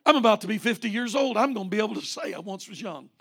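A man's voice: sits high, expressive, quick pace, a bit bright, slightly rough. A man in his late forties, a pastor preaching a fervent sermon with rising repeated refrains. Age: 40s.

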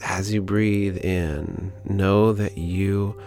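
A man leading a guided prayer meditation in a calm, gentle, unhurried manner with pauses. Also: sits low. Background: background music.

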